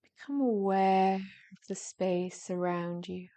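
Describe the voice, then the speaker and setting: A woman speaks very slowly in a dark, mellow tone as she guides a deep relaxation. Her delivery is gentle and soothing, with soft, breathy phrase endings. She leaves long pauses between short phrases. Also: fairly even intonation. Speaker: yoga nidra meditation guide.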